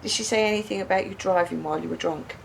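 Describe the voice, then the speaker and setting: A woman's voice: fast talker, gravelly, lightly acted. An actress in a radio play playing a bereaved, wounded woman who questions pointedly with controlled bitterness. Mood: probing. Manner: probing, pointed, echoing words back. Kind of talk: naturalistic radio play dialogue. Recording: steady background noise, low rumble.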